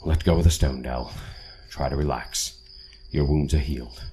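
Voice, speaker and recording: An actor playing a calm, authoritative older leader of a secret team in an audio drama, speaking in a measured way. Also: male voice, rumbling low, slight background noise. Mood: soothing.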